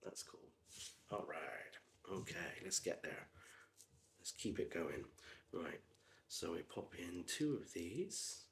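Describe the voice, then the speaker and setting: Soft-spoken deep-voiced Gloucestershire man. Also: big pitch swings, slight background noise. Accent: Gloucestershire English. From Gloucestershire, England. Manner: quiet, unhurried murmur with many ums, drifting into whispers. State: slightly hoarse. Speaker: ASMR creator. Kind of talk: soft-spoken ASMR chat while building.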